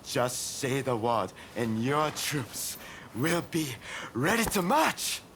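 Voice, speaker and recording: An actor playing a confident, loyal rebel army commander in a video game, earnest and assured. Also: male voice, medium pitch, big pitch swings, takes it slowly, fairly bright tone, a little hiss or hum, full character voice. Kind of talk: video game cutscene acting.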